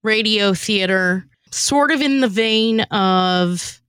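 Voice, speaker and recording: Slow-paced woman chatting, very dry sound. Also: mid-range voice, a bit bright, smooth, no room sound. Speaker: podcast host and skit writer. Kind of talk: casual chat.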